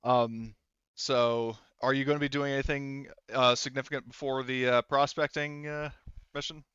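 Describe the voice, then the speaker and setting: A man's voice: medium pitch, level tone, normal speed, a bit bright, clean tone, dry recording, dull audio. A man acting as game master of a tabletop roleplaying game, talking casually and hesitantly as he searches for words.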